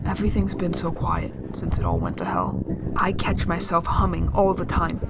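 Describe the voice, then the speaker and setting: Lonely survivor, reflective, hesitant, musing monologue, audio drama, very loud background noise. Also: mid-range voice, big pitch swings, normal speed, creaky. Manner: musing, hesitant, self-correcting.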